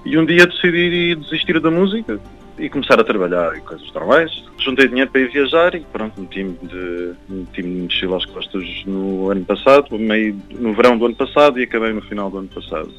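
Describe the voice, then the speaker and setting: Low-voiced young Portuguese man, halting and reflective. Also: a bit bright, dull audio. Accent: European Portuguese. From Algarve, Portugal. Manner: halting, repeats words while searching for phrasing. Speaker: traveller and guide interviewed. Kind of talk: casual reflective interview talk.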